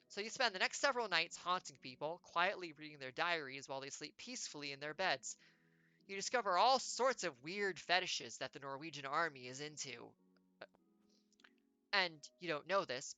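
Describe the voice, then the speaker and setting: A woman's voice: deep voice, bright, forceful tone, clean tone, no echo. A woman streamer reading a choose-your-own-adventure game aloud, with playful, wry jokey asides.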